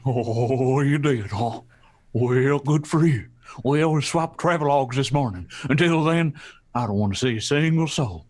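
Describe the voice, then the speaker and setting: A man's voice: medium pitch, a little slow, a little mellow, close to an everyday voice. A man acting in an old-fashioned radio play as a harried, pompous adventurer and author, blustering and dismissive. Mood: brusque disdain. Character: harried, pompous male adventurer-author, disdainful of fans and stars.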